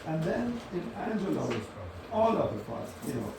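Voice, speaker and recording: A man thinking aloud in an unscripted academic architecture discussion, halting and often rephrasing as he moderates. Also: a little high, big pitch swings. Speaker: discussion moderator responding to speakers. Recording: roomy, distant microphone, clear background noise.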